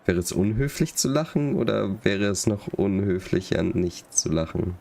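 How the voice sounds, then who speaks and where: A man's voice: low-pitched, moderate pace, gravelly, a little mellow, slight background noise. A man giving casual live commentary on a video game as a streamer, in wry asides. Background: game dialogue and faint music.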